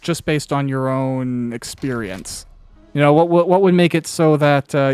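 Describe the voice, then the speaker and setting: A man's voice: medium pitch, clean tone, dry recording. A man conducting a conversational interview as host, reflective and rambling in how he frames his questions. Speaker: interviewer and writer of an audio series. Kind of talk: conversational interview while playing a game.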